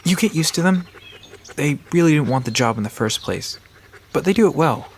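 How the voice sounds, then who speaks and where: A man's voice: fairly high pitch, expressive, normal speed, slight background noise. A man playing an easygoing park guide in an audio drama, explaining things in a casual, conversational manner. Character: Sam, easygoing male park guide to cryptids.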